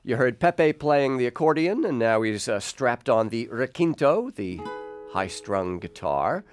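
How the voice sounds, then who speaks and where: A man hosting a conversational studio music interview, asking thoughtful questions in a halting, exploratory way. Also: medium pitch, expressive. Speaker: radio host and interviewer. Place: radio studio.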